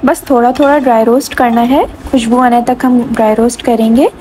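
Woman, cooking host, calm step-by-step instructions, mellow tone, faint music behind. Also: a little high, a little fast. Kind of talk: step-by-step recipe narration.

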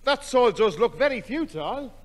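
A man's voice: very high voice, big pitch swings, normal speed. A man playing a daft, chatty neighbour in a 1960s radio comedy. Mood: jovial, chatty. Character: daft, chatty neighbour muddling his words. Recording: old radio recording with light hiss.

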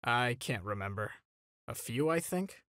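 A man voicing a tired, grumpy adult male hero teacher in a comic dub, deadpan and understated. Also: mid-range voice, unhurried, no room sound, clean studio sound. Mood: flat, unbothered. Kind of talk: animated comic dub voice acting.

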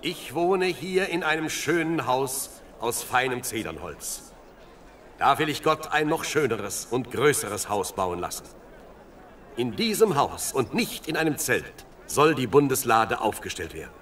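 A man's voice: medium pitch, big pitch swings, measured pace, a bit bright, a little hiss or hum. An actor playing a devout, ceremonious king in a biblical audio drama, with stately, declamatory delivery. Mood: resolute.

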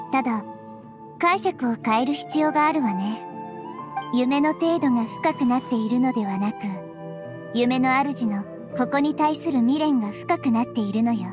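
A woman playing a wise, gentle childlike girl deity in an anime game, explaining things in a measured, thoughtful way. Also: a little high, close to an everyday voice. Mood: calm, explanatory. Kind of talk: Japanese anime game voice acting. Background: background music.

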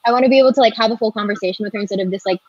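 A young woman talks casually into her laptop on a video call, full of "like" and loose asides. Her voice sits at a middle pitch. The sound is dry and a little dull, typical lo-fi webcam audio. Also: racing, a little mellow, no echo. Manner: chatty, filler-heavy, with frequent "like". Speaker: voice actor panelist. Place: home kitchen.

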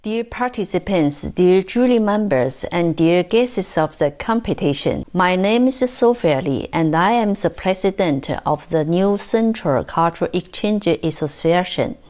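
A woman delivers a formal, measured greeting to competition participants. Her voice sits a little low, recorded indoors facing the camera. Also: animated, darkish tone. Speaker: cultural association president. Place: indoor room with bookshelves.

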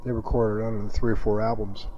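A man's voice: lowish voice, little pitch movement, fast talker, dark tone. A man speaking casually as a musician guest in a radio interview. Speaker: interview guest, band drummer. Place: radio station studio. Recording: dull, noisy 1982 AM radio aircheck.

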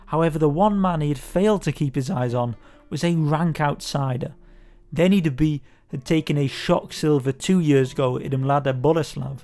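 A man narrating a scripted sports history documentary in a steady, measured way. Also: fairly high pitch. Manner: steady, measured storytelling delivery. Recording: voice-over with faint background music.

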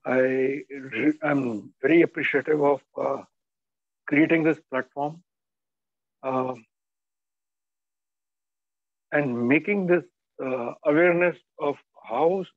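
Man with a cold, halting. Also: a little high, dry recording. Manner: halting, many filler pauses. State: has a bad cough and cold. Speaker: cyber forensics instructor. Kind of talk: webinar introduction. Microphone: video call. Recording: dull lo-fi video call audio.

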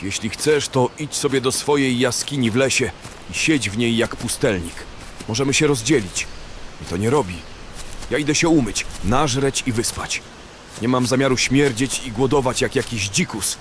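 A man's voice: medium pitch, expressive, normal speed, natural voice. Guy doing callous brash fantasy bandit, mid voice, noisy background, audio drama. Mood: fed up. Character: brash, callous, coarse male bandit who loves food. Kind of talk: fantasy radio drama acting. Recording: clearly noisy background ambience.

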